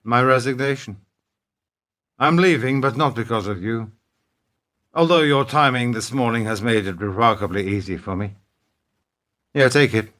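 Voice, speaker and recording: An actor playing a wounded, principled middle-aged businessman in a radio play, speaking in a halting, wry, pointed way. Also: medium pitch, fairly bright tone, lightly acted. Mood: quietly defiant. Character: wounded, principled businessman confronting his treacherous partner.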